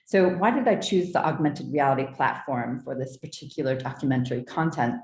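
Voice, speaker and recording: Female artist presenter, very low register, measured and formal scripted delivery, reverberant room sound. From southeastern United States. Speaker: artist presenting own work. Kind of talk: prepared artist talk, read from notes. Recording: roomy, echoing sound.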